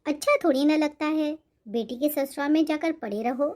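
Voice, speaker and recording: A humble cartoon mother voiced by a woman speaks in a high voice. She is tender and earnest. Her manner is grateful and self-sacrificing. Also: moderate pace, darkish tone, natural voice. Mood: grateful, emotional. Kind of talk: Hindi cartoon moral-story voice acting.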